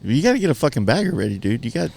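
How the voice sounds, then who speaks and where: A man's voice: mid-range voice, very animated, very fast, vocal fry, darkish tone, slightly rough. A man hosting a podcast, chatting casually in a slangy, laid-back way with some swearing. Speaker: podcast host.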